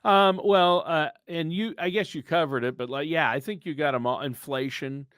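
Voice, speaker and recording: A man's voice: a little high, normal speed, fairly bright tone, smooth, no echo. An American man co-hosting a podcast in a casual, conversational and agreeable way. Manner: agreeable, affirming. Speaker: podcast co-host.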